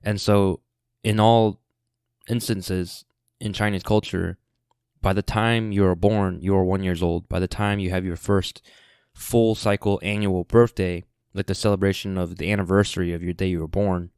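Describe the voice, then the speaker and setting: A man's voice: deep voice, steady tone, moderate pace, darkish tone, smooth, dry recording, studio quality. A man in his twenties, hosting a podcast, talks casually in a rambling, laid-back way full of fillers and restarts. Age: 20s. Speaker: podcast host. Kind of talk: casual solo podcast monologue.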